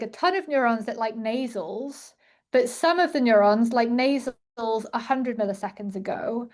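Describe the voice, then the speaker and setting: A woman's voice: fairly high pitch, a little mellow. A young adult woman answering questions after an academic talk, thinking aloud with many fillers and restarts. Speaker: invited speaker answering questions.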